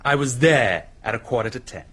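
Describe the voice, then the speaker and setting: Man as rough, defiant suspect; fast, evasive, belligerent; radio drama. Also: medium pitch, expressive, pushed and bright.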